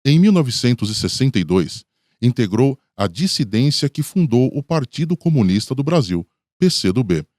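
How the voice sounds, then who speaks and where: A man's voice: lowish voice, warm tone, no room sound, studio quality. A man with a Brazilian accent giving scripted narration for a radio documentary.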